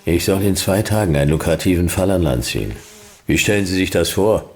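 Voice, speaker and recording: An actor playing a world-weary, wry private detective in a futuristic radio crime drama, gravelly, laconic and deadpan in clipped sentences. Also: male voice, low voice, fairly quick. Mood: dry, laconic. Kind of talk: noir radio drama, first-person narration and dialogue.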